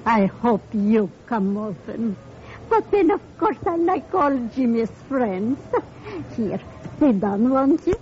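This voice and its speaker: A gentle gracious blind widow in an old radio show, takes her time, muffled hissy sound. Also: fairly high pitch, very animated, slow. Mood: welcoming. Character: gracious, serene blind widow running a restaurant. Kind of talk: old radio drama acting. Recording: dull, noisy old radio recording.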